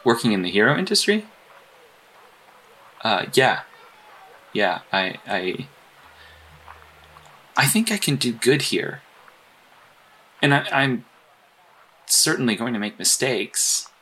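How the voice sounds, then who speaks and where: Man playing a halting, bright-voiced awkward hero agent. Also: mid-range voice, big pitch swings, husky, exaggerated voice, low-quality recording. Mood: earnest. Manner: halting, stammering, earnest delivery. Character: apologetic, earnest, socially awkward male hero-industry agent. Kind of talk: naturalistic audio drama acting.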